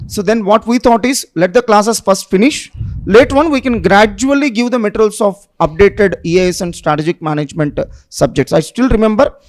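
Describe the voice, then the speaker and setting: A man teaching at a coaching institute interviews a former student with deliberate questions. His voice sits high for a man, and he encourages the student with short remarks.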